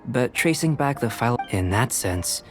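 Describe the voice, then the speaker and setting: A man playing a young, scholarly alchemist in a video game, speaking in a measured, polite, analytical and detached way. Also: mid-range voice, lively intonation, faint music behind, close to an everyday voice.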